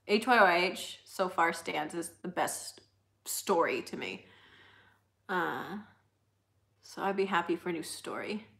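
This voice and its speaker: Young woman, slightly squeaky high voice, casual livestream host, chatty, laughs a lot. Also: pushed and bright. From United States. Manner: chatty, laughs often, reads out comments.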